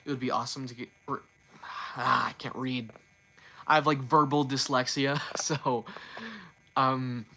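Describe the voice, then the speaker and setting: A man's voice: medium pitch, pushed and bright. A man hosting a casual livestream and talking off the cuff. He stumbles, restarts his sentences and repeats words often. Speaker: livestream host.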